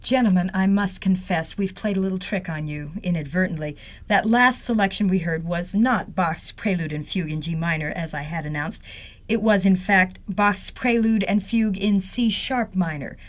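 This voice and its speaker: A woman's voice: fairly low pitch, normal speed, no room sound, close to an everyday voice. A woman playing a polite, unflappable classical radio program host, announcing formally and measuredly in a comedy sketch. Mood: composed.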